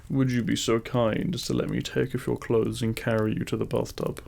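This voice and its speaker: A man voicing a devoted, teasing demon king in an intimate romantic audio roleplay, speaking tenderly in courtly, formal phrasing with a gravelly voice. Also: medium pitch, flat delivery, brisk, darkish tone, a little hiss or hum. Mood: seductive, tender.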